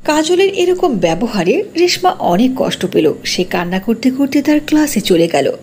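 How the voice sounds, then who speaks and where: High, animated female storyteller. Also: normal speed, slight background noise. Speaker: narrator. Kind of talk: scripted fairy-tale narration.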